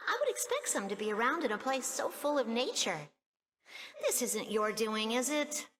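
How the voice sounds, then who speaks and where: Bright, high young animal sidekick. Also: female voice, big pitch swings, moderate pace, long reverb tail, slight background noise. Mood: suspicious, curious. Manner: earnest, pointed questioning. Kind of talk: video game cutscene acting. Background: music.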